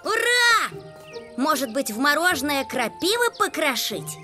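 A woman playing an excitable, mischievous small child in an animated children's cartoon. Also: sits high, unhurried. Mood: gleeful, cheeky. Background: music.

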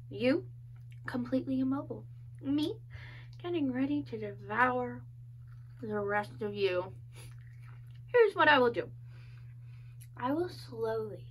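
A woman performing a dark comedic monologue as a playful, sadistic female killer taunting her captive, whispering menace between loud bursts. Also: high voice, a little hiss or hum.

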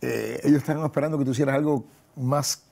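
A man in his sixties hosting a conversational television interview in a studio, with a husky, gravelly voice. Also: medium pitch, steady tone, quick pace, mellow. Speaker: talk show host, pastor. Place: TV studio set.

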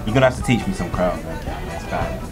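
A young man hosts a food segment, his voice sitting lowish and easy. He chats and jokes freely, leaning on "you know" between thoughts. Music plays in the background of the small restaurant. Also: animated, quick pace. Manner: chatty, jokey, lots of "you know" fillers. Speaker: host. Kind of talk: casual food show interview.

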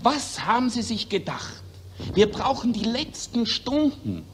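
A woman's voice: on the high side, very animated, measured pace. A woman playing a ghoulish, eager war reporter in a satirical 1940s radio drama in verse. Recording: noisy 1940s radio recording.

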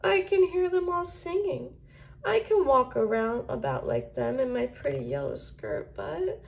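A woman's voice: high-pitched, sing-song, takes it slowly, creaky, a little mellow, full character voice, poor audio. A woman voicing a tearful, self-pitying little flower child in a children's storybook. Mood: tearful, wounded. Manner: tearful, sobbing delivery.

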